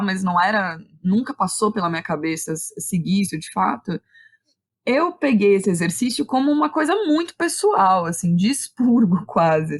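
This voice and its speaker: A woman with a São Paulo Brazilian accent chatting informally as an interview guest, often checking in with little tag questions. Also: medium pitch. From Santo André, São Paulo, Brazil. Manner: informal, conversational, uses frequent tag questions. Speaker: guest, theatre director and playwright. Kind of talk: casual interview chat.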